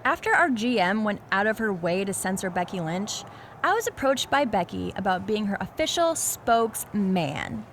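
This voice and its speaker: A woman's voice: mid-range voice, expressive, normal speed, fairly bright tone, slight background noise. A woman playing a defiant, righteous wrestler in a video game, delivering a firm, challenging promo. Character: defiant, righteous female wrestler acting as spokeswoman.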